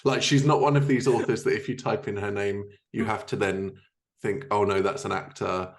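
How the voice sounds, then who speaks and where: A man with a fairly low voice hosts a podcast interview. His delivery is casual and informal, and he is encouraging toward his guest. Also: fairly even intonation, normal speed, vocal fry. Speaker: podcast host.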